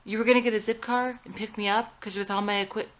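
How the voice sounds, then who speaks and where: Woman acting exasperated, sarcastic wife, bright-voiced. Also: medium pitch, steady tone, fast talker, pitched above the performer's own voice, lightly acted. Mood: annoyed, accusing. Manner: sarcastic, needling. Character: exasperated, sarcastic young married woman photographer.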